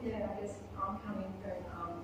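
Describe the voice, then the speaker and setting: A woman's voice: medium pitch, flat delivery, normal speed, background noise. A woman speech pathologist asking a question from the audience, hesitant and searching for words. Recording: echoey room, distant mic.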